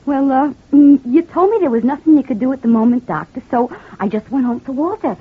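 A distraught wife in a mid-century radio crime drama. Her voice is high and very husky, halting and full of feeling, on a narrow, muffled old recording. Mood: hesitant. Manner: hesitant, emotional, halting. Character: distraught wife claiming she shot her abusive husband. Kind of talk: 1940s-50s radio crime drama acting.

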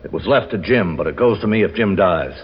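An actor playing a cornered, scheming killer, defiant and greedy, in a 1940s radio crime drama with a husky voice. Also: male voice, low-pitched, expressive, a little fast. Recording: dull old radio recording.

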